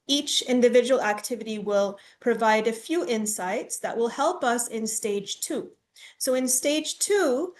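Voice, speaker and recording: A woman from Tanzania leading an online workshop, talking through the plan in a friendly, organized way with frequent "um" and "okay". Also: a little high. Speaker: workshop facilitator, university instructor. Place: home or office, on a video call. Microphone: computer mic on a video call.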